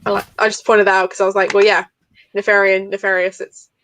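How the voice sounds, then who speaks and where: A woman's voice: mid-range voice, flat delivery, quick pace, fairly bright tone, a little hiss or hum, poor audio. A woman in a casual book discussion, talking informally and analytically as she thinks aloud. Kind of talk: casual live book chat.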